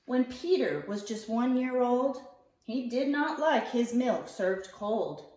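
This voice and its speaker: A woman reading a picture book aloud to young children, expressively, with deliberate phrasing and drawn-out key words. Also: a little high. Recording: roomy echo, distant mic.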